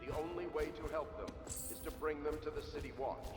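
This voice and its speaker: Casting: male actor as authoritarian city announcer for a game, higher-set male voice, formal and impersonal, heavily echoed proclamations. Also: fairly even intonation, brisk, lightly acted. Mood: stern, official. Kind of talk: scripted official proclamation. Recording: echoing public address reverb, music behind.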